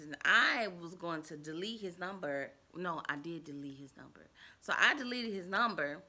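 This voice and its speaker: A woman's voice: lowish voice, bright, forceful tone. A woman, telling a personal story to her viewers in a rambling, casual way, full of fillers and asides, acting out what people said. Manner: acts out quoted dialogue in a raised voice. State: stuffy and under the weather.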